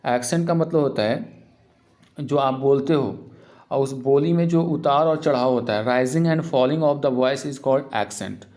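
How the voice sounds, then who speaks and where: A male teacher works through grammar errors at an unhurried, measured pace. He explains points conversationally and then reads each English example sentence out slowly and deliberately, as if dictating. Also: medium pitch, darkish tone. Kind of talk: exam-prep grammar lesson.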